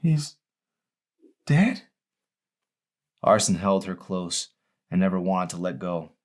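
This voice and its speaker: A man's voice: deep voice, little pitch movement, moderate pace. A man reading his own novel aloud as a dramatic audiobook narration.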